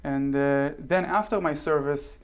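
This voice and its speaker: Israeli-born man, measured and explanatory. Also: a little high, smooth. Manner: measured, explanatory, frequent "right?" and "um". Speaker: guest. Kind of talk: casual interview chat. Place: television studio.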